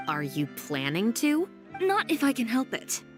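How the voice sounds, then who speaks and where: A woman playing a cool, self-assured young woman with a sharp tongue in a video game, dry and composed. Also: fairly high pitch, wildly swooping pitch, unhurried, over music.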